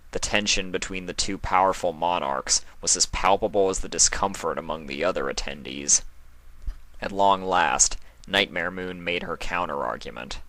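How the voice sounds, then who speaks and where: A man reading scripted story narration as the narrator, in a measured, dramatic manner. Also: deep voice, bright, forceful tone, no echo.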